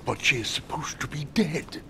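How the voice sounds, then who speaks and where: A man's voice: fairly high pitch, animated, moderate pace, creaky, sharp tone, slight background noise, heavily acted. A man acting a dignified, earnest president in a period video game, with a formal, courteous and grave manner. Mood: troubled, astonished.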